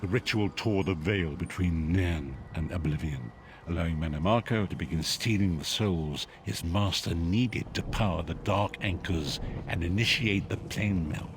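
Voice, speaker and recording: Man acting a low-voiced grave sage. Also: music in the background, close to an everyday voice. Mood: grave, solemn. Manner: measured, portentous. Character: grave, wise male sage, freed former prisoner. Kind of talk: solemn scripted fantasy game narration.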